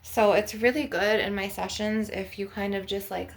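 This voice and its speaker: A woman's voice: mid-range voice, steady tone, moderate pace. A woman leading an ASMR energy-healing session as a spiritual healer, guiding the listener in an instructive way. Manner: instructive, guiding breathing and visualisation.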